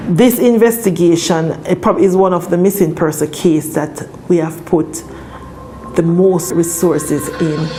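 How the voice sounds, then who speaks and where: A woman's voice: fairly low pitch, fairly even intonation, measured pace, low-quality recording. A woman with a Jamaican accent speaking as a police spokesperson, explaining things formally in an interview. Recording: faint music behind the voice.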